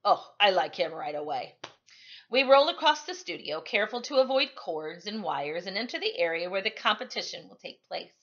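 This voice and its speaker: A woman reading a novel aloud like a teacher at storytime, with animated, emphatic delivery. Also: medium pitch, moderate pace, muffled recording. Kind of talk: expressive storytime read-aloud.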